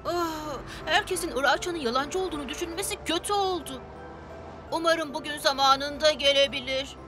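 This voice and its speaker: Extremely high little-kid voice, woman playing sincere loyal bus, children's cartoon, music underneath. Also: normal speed, close to an everyday voice. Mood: worried. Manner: hesitant, earnest. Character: earnest, loyal, kind young child bus. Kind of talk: dubbed children's cartoon acting.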